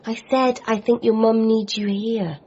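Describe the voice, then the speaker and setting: An actress playing a caring, practical girlfriend in a radio drama, gentle and reassuring in manner. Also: on the high side, steady tone, unhurried, lo-fi sound, a little hiss or hum, natural voice. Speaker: supporting character.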